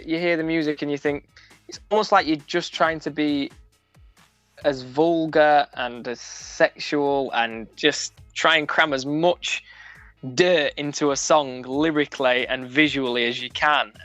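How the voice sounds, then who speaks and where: A man's voice: fairly high pitch, a bit bright, no room sound. A young man from Sheffield with a broad Sheffield accent, a musician speaking frankly in a casual radio interview, often restarting his sentences. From Sheffield, England. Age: young adult. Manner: frank, many restarts and fillers. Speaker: musician guest. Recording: dull, dry sound with music under it.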